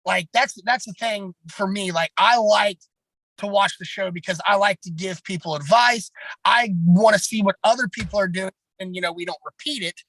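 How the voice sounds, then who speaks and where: Raspy-voiced man, blunt and rambling. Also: high voice, moderate pace, fairly bright tone, dry recording, low-quality recording. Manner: blunt, rambling, heavy on fillers. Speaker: pro wrestler. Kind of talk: casual podcast chat.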